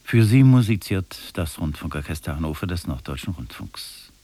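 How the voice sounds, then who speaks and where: A man's voice: deep voice, big pitch swings, moderate pace, mellow, no room sound. A male radio announcer, reading scripted programme announcements in a studio.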